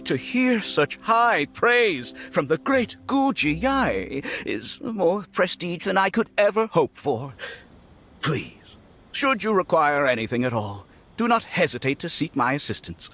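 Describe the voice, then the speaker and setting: Polite rich merchant guy, lilting formal game voice, a bit high, music behind. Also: fairly bright tone, full character voice. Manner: formal, polished, deferential. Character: suave, courteous wealthy businessman, smoothly flattering.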